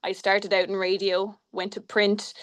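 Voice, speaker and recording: A woman, a media broadcaster, introducing herself briskly and confidently on a video call. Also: medium pitch, flat delivery, a bit bright. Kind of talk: panel self-introduction.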